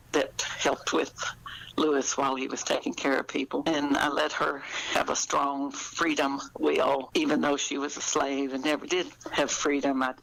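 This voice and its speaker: Older female author, noticeable vocal fry, thoughtful conversational delivery with pauses. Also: very deep voice, a bit bright, rough recording. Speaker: author being interviewed. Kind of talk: conversational interview.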